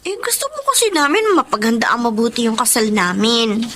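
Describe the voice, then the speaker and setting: A woman's voice: a little high, very animated, a little fast, sharp tone, slight background noise. A woman playing a devoted, sensitive young Filipino stepdaughter in a radio drama, earnest and emotive. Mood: sweet, grateful.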